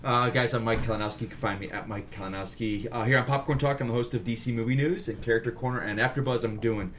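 A man's voice: medium pitch, fast, a bit bright, a little hiss or hum. A man speaking casually as a panelist on a talk show. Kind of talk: casual self-introduction.

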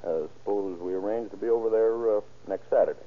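A man's voice: lowish voice, fast talker, close to an everyday voice. Man acting smug swindler on muffled old radio. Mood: plotting. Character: scheming, self-assured male insurance swindler, crooked adjuster. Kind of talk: 1930s-40s radio crime drama acting. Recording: muffled, scratchy old-time radio recording.

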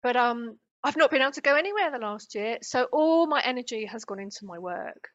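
A British woman of fifty, chatting warmly and animatedly as a guest about her spiritual work, over slightly dull audio. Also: on the high side, lively intonation, fairly quick. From Great Britain. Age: 50s. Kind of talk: casual conversational interview.

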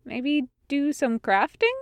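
A woman's voice: sits high, sing-song, slow, dry recording, phone-quality sound, pitched above the performer's own voice, heavily acted. A woman voicing an innocent, anxious young woman in a story, flustered, hesitant and eager to please. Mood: hopeful. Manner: flustered, hesitant, literal-minded.

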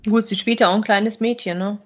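A woman's voice: medium pitch, steady tone, a little fast. A woman, co-hosting a podcast and chatting casually as she gives a review. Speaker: podcast co-host.

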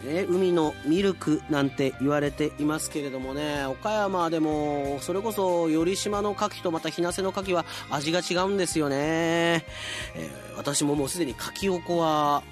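A man's voice: a little high, moderate pace. A man hosting a radio show, talking casually and chattily with self-deprecating asides and reading listener mail. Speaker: radio announcer and drama show host. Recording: music bed under the voice.

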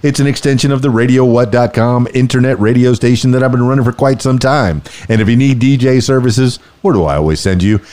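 A man's voice: fairly quick, few pauses, a little mellow. Hyped-up radio DJ man. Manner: playful showman, shifting pitch for emphasis. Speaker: podcast host and DJ. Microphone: studio mic.

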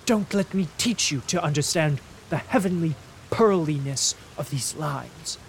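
A man voicing a fussy, peevish, languid invalid gentleman who talks in an affected, whining way. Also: on the high side, animated, unhurried, creaky, slight background noise. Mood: fretful.

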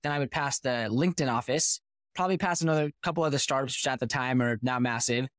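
A man's voice: medium pitch, quick pace, dry recording, studio quality. Fluent man telling his founder story. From United States (Boston). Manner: fluent, animated storyteller who poses rhetorical questions. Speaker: podcast guest, company founder. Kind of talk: casual interview storytelling.

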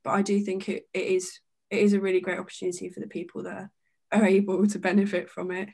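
A woman's voice: medium pitch, flat delivery, quick pace, gravelly, a little mellow, slightly rough. A young woman from Kent with a mid-range voice talks in an easygoing, conversational way. She is a first-year student guest on a podcast. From Kent, England. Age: young adult. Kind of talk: casual podcast chat.

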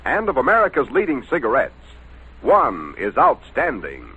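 A husky-voiced man delivering a 1940s style radio advertising pitch on a dull old recording. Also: wildly swooping pitch, normal speed, pushed and bright. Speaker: commercial announcer.

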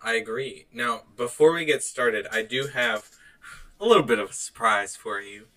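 A man's voice: mid-range voice, animated, normal speed, bright, forceful tone, rough recording. A man co-hosting a podcast in casual, joking banter, swearing now and then. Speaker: podcast co-host.

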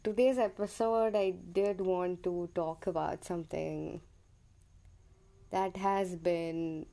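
A woman's voice: on the low side, fairly even intonation, takes it slowly, vocal fry, mellow. A young woman from India with an Indian English accent that drifts toward American, hosting her own podcast in a hesitant, personal monologue full of ums. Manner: hesitant, many ums and self-corrections. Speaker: podcast host.

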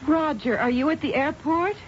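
An actress playing an exasperated, reproachful wife in a 1970s radio drama. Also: female voice, sits high, normal speed, slight background noise, close to an everyday voice. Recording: muffled old radio drama broadcast.

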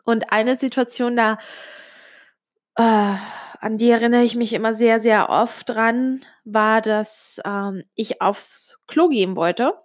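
A woman's voice: fairly high pitch, steady tone, takes it slowly. A young woman from Switzerland, Italian her first language, telling a personal story straight to the listener in a confiding, casual way with many small fillers. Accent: Italian-Swiss German speaker with little Swiss accent. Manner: confiding, addresses the listener directly, frequent fillers. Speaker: vlogger.

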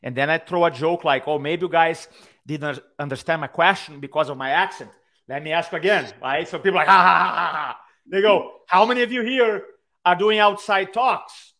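A man's voice: on the high side, brisk, creaky. A man with a Brazilian accent, a speaking coach telling stories in a podcast interview over a video call. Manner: animated storyteller, acts out quotes. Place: his own room on a video call.

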